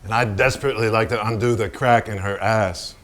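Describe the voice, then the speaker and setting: A man's voice: lowish voice, steady tone, a little fast, a bit bright, close to an everyday voice. A man acting a brash, insecure, foul-mouthed American male painter in a theatrical staged reading. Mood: indignant. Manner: swaggering, needling, repetitive, profane. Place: staged reading in a barn venue.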